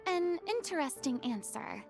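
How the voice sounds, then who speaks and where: A woman's voice: sits high, sing-song, a little slow, gravelly, full character voice. A woman voicing a proud, theatrical young former stage star in a video game, with formal, dramatic diction. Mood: reflective, grand.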